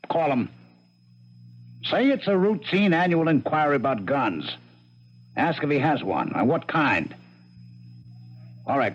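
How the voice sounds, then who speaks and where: A streetwise police lieutenant in a seventies radio mystery. He is blunt and skeptical with some sympathy, swinging widely in pitch through clipped phrases. The recording is dull. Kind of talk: 1970s radio drama acting.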